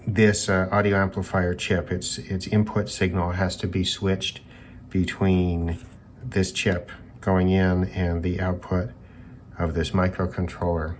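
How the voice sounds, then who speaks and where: A man with a low voice talks through electronics in an unscripted, hobbyist way. He sounds musing and tentative, working things out as he goes. There is some noise behind him in the recording. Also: little pitch movement, measured pace. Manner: musing, thinking aloud, tentative. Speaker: hobbyist reviewer of radios. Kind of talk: unscripted electronics teardown commentary.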